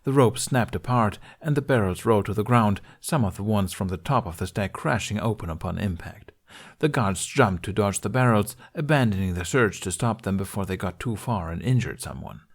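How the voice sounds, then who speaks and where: A man's voice: on the low side, expressive, moderate pace. A man narrating an adventure story in a scripted, audiobook style. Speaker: podfic narrator.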